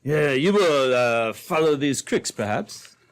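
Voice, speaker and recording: An actor playing a sly, falsely polite villain in an old-time radio drama. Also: male voice, medium pitch, expressive, slow. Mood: feigned concern. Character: sly French trapper villain feigning helpfulness.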